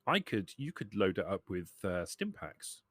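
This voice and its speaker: A man's voice: low-pitched, very animated, normal speed, a bit bright, no echo. A man talking casually during a tabletop roleplaying game.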